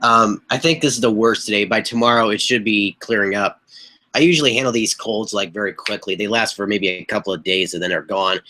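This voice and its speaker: A young man talking into a webcam from a small bedroom, his voice hoarse and a bit stuffy from a cold. The tone stays bright in spite of the rasp, and he rambles casually with fillers and throat clearing. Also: lowish voice. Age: young adult. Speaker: live chat host. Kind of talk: casual live-stream chat. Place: small bedroom with posters on the walls. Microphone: webcam mic at desk distance.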